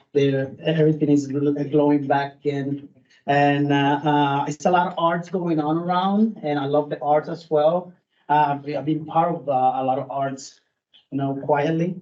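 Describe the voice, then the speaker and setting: A man with a strong Puerto Rican accent speaks at a town meeting, halting and earnest, full of restarts and fillers. His voice sits a little high and sounds soft and mellow. The recording is dull and muffled. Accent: Puerto Rican Spanish. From Puerto Rico. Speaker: food truck owner speaking at public meeting. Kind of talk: informal public comment. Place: public meeting room.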